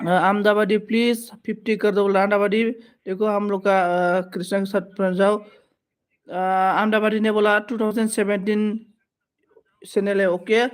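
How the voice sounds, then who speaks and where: High-pitched man hosting a chatty live stream. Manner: switches between Bodo, Hindi and English phrases; repetitive thanks and requests for support. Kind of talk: casual live stream chat with viewers.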